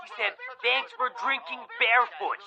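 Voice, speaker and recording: A woman playing an excited party guest cheering on the phone in an audio drama. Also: a little high, normal speed, creaky. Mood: excited, shushing. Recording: dull lo-fi sound, loud party crowd behind.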